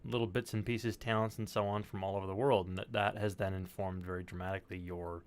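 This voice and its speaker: Male stream host, lowish voice, informal interviewer who phrases questions loosely and swears. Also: level tone, fast. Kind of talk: casual interview chat.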